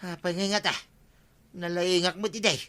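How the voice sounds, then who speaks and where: Cocky, blustering watchman hopeful, Ilocano accent, very sharp and highish, broad radio comedy. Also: steady tone, normal speed. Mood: blustering effort. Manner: boastful, blustering. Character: boastful, clumsy male would-be village watchman.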